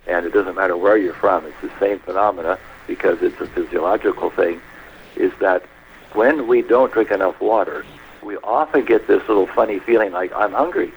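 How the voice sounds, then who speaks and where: A man with a very low, gravelly voice talks through health advice on a radio program. His delivery is measured and anecdotal, walking through each point step by step, over a faint hiss in the background. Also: poor audio. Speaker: health guest. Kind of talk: explanatory radio talk.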